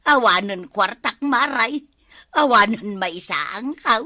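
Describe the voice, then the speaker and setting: Actress as needy village neighbour, plaintive, bright and forceful, slightly slow, dry sound. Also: female voice, a little high, expressive, no echo, full character voice. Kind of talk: comedy radio drama acting in Ilocano.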